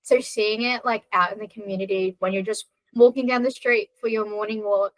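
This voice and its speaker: A woman's voice: mid-range voice, fairly even intonation, a little fast, fairly bright tone, dry recording, poor audio. A woman from Australia, a podcast guest talking in an earnest, reflective way with many fillers. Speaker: podcast guest, content creator. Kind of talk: casual podcast chat.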